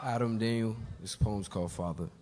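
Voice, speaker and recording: A man's voice: on the low side, a little fast, a little mellow. A man performing spoken-word poetry earnestly, in short rhythmic phrases. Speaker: slam poet.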